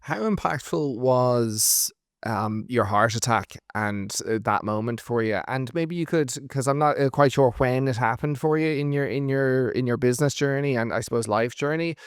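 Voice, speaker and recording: Male podcast interviewer, hesitant and slow, clean resonant voice. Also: mid-range voice, clean tone, no room sound, clean studio sound. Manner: slow, hesitant questioning with fillers. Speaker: podcast host. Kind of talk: interview questions.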